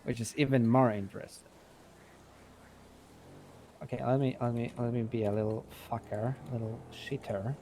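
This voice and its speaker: A man's voice: medium pitch, steady tone, moderate pace, long pauses, dark tone, slight background noise, natural voice. A man playing a blunt, foul-mouthed male mechanic and shop owner, talking in a rambling, emphatic way in improvised game roleplay. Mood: blunt, dismissive. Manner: rambling, emphatic, swears freely.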